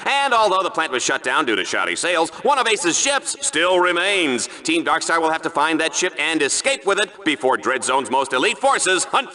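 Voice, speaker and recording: An actor playing a brash, smarmy sci-fi game show commentator, theatrical and sarcastic, swinging between big hype and flippant asides. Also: male voice, high-pitched, sharp tone, exaggerated voice. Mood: smarmy, showy. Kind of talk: over-the-top live sports commentary, cartoonish game acting.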